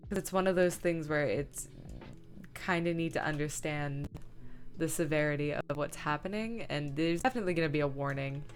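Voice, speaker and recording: A woman streamer chatting casually as she works, rambling and thinking aloud. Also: low voice. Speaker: live streamer; comic dub director. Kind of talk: casual livestream chat while working.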